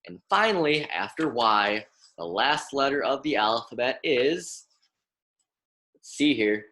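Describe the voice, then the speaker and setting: Young American man reading aloud, slow and animated. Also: mid-range voice. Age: young adult. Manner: instructive, repeats and emphasises words for young children. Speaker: graduate student reading aloud to children. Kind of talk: children's picture-book read-aloud lesson.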